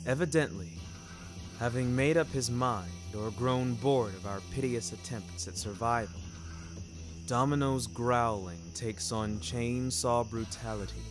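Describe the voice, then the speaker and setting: A man narrating scripted dramatic fiction in a wry, literary manner. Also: mid-range voice. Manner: wry, literary, deliberate phrasing. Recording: music bed behind narration.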